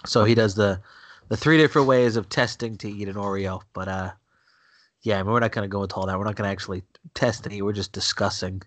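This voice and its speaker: A man's voice: lowish voice, steady tone, fast talker, a bit bright, dry recording. A man with a stuffy nose from a cold, chatting bluntly and with strong opinions, often repeating himself. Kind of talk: casual chat. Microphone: remote call connection.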